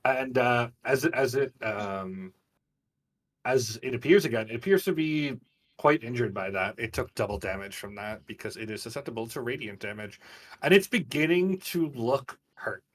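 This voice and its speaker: A man leads a tabletop game as game master, sitting a little away from the mic. His voice is mid-pitched, and he moves between casual rules talk and vivid narration. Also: lively intonation, moderate pace, distant mic. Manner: informal, vividly descriptive. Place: online group game stream.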